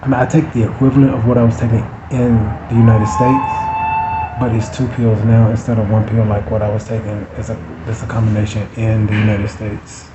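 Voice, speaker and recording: A man's voice: fairly low pitch, little pitch movement, fairly quick. A man in his forties telling a personal story casually, rambling and correcting himself, acting out past conversations. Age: 40s. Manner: rambling, self-correcting, acts out remembered conversations. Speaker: vlogger. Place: room with an open window, abroad. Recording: roomy, dull sound with loud background noise. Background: music and street traffic through the window.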